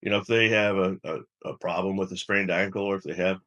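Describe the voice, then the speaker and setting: A man with a low-pitched voice speaks as an expert guest in an interview. He explains things step by step in measured, professional language, sometimes halting and repeating a word before he carries on. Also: fairly even intonation, sharp tone. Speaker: health system chief medical officer, interview guest.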